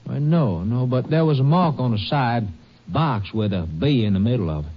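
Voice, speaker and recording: A man's voice: medium pitch, expressive, normal speed. An actor playing a long-haul truck driver who gives his account in a folksy, rambling drawl. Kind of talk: 1950s radio drama acting. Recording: muffled vintage radio broadcast.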